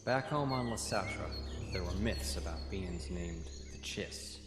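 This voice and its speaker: An actor playing an earnest, scholarly young military officer in an audio comic, measured like a storyteller. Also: male voice, low-pitched, expressive, background noise. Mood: earnest, explaining. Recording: roomy playback with loud music bed.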